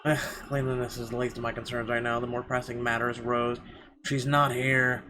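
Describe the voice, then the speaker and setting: Male let's player, medium pitch, dramatized first-person visual novel reading with muttered asides, noticeably noisy recording. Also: little pitch movement, brisk. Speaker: video game let's player. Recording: clearly noisy background.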